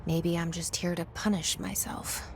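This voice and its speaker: Wry, creaky-voiced game heroine. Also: female voice, on the low side, flat delivery, unhurried, slight background noise. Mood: weary, resolute. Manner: dry, muttered, sardonic. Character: wry, guarded heroine's weary inner voice. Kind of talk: internal monologue in a video game.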